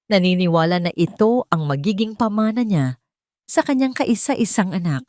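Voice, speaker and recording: A woman's voice: medium pitch, expressive, moderate pace, darkish tone, dry recording, studio quality. A woman narrating a scripted romance drama as a storyteller, in a dramatic style.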